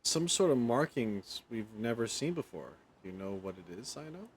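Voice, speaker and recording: A man's voice: medium pitch, big pitch swings, moderate pace, a little hiss or hum. A man giving casual, informal gameplay commentary as a streamer, reacting aloud to the story. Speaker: game streamer. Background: game music.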